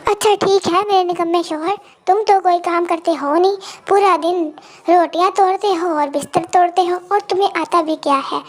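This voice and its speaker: Children's story casting: woman as nagging crow wife, extremely high childlike pitch, sharp-tongued, scolding. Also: fairly even intonation, normal speed. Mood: nagging, scornful. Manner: scolding, threatening. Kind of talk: children's moral story voice acting.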